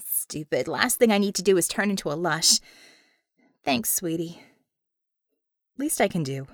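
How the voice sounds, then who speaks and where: A woman's voice: lowish voice, expressive, measured pace, no room sound, studio quality. A woman voicing a brash, impatient female team leader in an audiobook, speaking emphatically with wry sarcasm. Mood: weary, wry. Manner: emphatic, exclaiming, sardonic.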